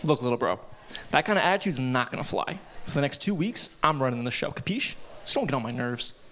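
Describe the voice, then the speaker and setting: A man's voice: mid-range voice, expressive, brisk, bright, forceful tone. A man reading the part of a dismissive, bossy teenage older brother at a live table read. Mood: smug.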